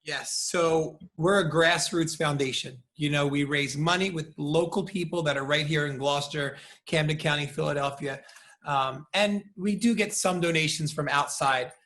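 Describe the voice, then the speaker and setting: A middle-aged American man, a salon owner, talking in an animated, emphatic way as an interview guest over a video call. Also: on the high side, normal speed. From United States. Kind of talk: casual interview chat.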